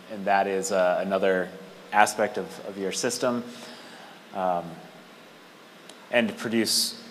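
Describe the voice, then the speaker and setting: Very low-voiced man, halting, noisy room. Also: a bit bright. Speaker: workshop group spokesperson. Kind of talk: informal spoken report to a meeting. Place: meeting room at an event. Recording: noisy room with clear background noise.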